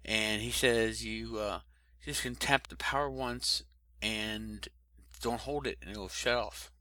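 A man co-hosting a casual tech podcast, talking in a halting way with many fillers and restarts. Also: lowish voice, fairly even intonation, sharp tone, no echo. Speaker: podcast co-host.

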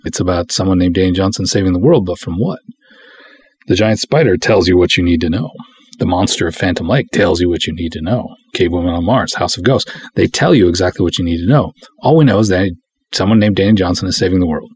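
A man hosting a podcast in a casual, rambling, enthusiastic way, with emphatic delivery and a husky voice. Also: sits low, expressive, fast, darkish tone, no room sound. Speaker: podcast host and independent filmmaker. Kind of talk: casual solo podcast talk.

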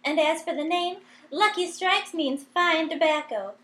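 A woman's voice: very high-pitched, unhurried, bright, forceful tone, heavily acted. A woman acting a young working-class cigarette girl in old-time radio comedy, giving folksy sing-song sales patter. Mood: chirpy sales pitch. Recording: roomy, echoing room sound.

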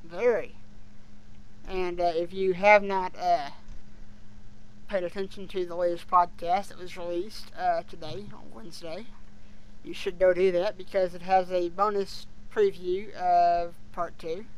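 A podcast co-host chatting casually as a fan, speaking in a halting way with hesitations that trail off. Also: medium pitch, flat delivery, clear, resonant tone, poor audio.

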